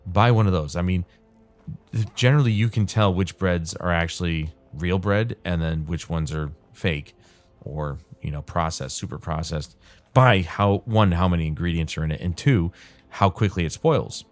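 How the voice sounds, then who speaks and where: Male gaming host, very deep, dry-throated and soothing, loose rambling commentary, light music bed. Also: moderate pace. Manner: calm, soothing, rambling delivery with laughs. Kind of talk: casual rambling commentary over gameplay.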